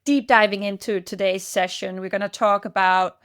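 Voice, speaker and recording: A woman's voice: medium pitch, steady tone, fairly bright tone, no echo. Woman, podcast host, enthusiastic interviewer, dry recording. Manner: enthusiastic, with quick laughs and restarts. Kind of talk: casual interview chat.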